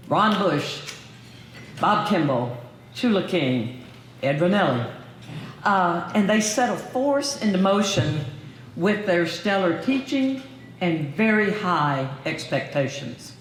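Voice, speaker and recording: An older woman speaks at a podium mic in a roomy banquet hall, her words carrying an echo off the walls. She mixes gentle humor with formal gratitude, with a faint hum of the room behind her. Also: fairly low pitch, expressive, takes it slowly, pauses often. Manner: warm, lightly humorous, formal. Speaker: hall of fame inductee, former professor. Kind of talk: acceptance speech. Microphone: podium microphone.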